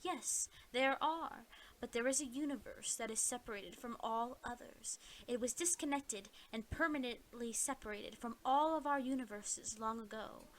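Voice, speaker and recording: Woman as a calm, grave celestial sage. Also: a little high, lightly acted, rough recording. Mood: solemn explaining. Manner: measured, explanatory. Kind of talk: amateur audio play acting.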